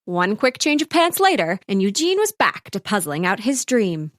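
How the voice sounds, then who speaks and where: A woman's voice: medium pitch, sing-song, normal speed, no room sound, studio quality, close to an everyday voice. A woman playing a wry storyteller, giving scripted narration for a comic audio drama.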